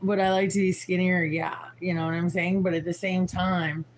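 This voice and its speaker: A tired woman in her forties with a raspy voice, rambling frankly and swearing as she chats on a livestream. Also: on the low side, flat delivery, moderate pace, a little hiss or hum. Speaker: livestream host. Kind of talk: casual livestream chat.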